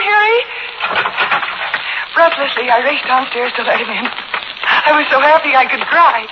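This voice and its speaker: A woman's voice: high-pitched, natural voice. A woman in her twenties with an American accent playing an anxious, earnest young mother in a 1940s radio drama, breathless and dramatic. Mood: relieved, excited. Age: 20s. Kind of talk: 1940s radio drama acting, first-person narration. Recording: dull, very noisy 1940s radio recording.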